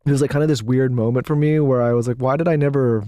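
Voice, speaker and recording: Man, podcast host, reflective, rambling, fast, mellow. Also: medium pitch, steady tone, no echo, clean studio sound. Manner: reflective, rambling questions with many fillers. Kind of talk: casual interview talk.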